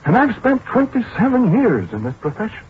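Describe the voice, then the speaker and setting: An American actor playing a fussy, exasperated middle-aged scientist who speaks hesitantly and excitably in a 1940s radio drama. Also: male voice, high-pitched, normal speed, slight background noise. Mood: aggrieved, despairing. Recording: muffled 1940s radio broadcast with music.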